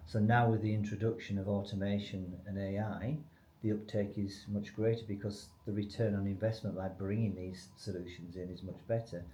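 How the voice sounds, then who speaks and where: Low, mellow-voiced man in echoey room. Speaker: company expert being interviewed. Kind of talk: conversational business explanation. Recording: echoey room, faint background noise.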